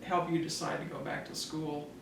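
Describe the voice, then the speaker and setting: A middle-aged man with a gravelly, fairly high voice asks easygoing questions and pauses on "uh" as he goes. He sits away from the mic, and the room around him is echoey. Faint ambient noise runs underneath. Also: recorded from a distance. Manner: relaxed, hesitant questioning with uhs. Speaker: interviewer. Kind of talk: casual interview.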